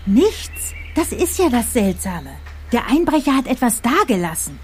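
An actress playing a brisk, self-assured young female client reporting a puzzling break-in in a German audio drama. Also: on the high side, very animated, a little mellow, slightly rough, soft background music, heavily acted. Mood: matter-of-fact, insistent.

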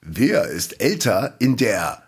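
A man co-hosting a podcast who teases his partner in a halting, filler-heavy way. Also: on the low side, sing-song, husky. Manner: teasing, halting, many fillers. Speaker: podcast co-host. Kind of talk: casual podcast chat.

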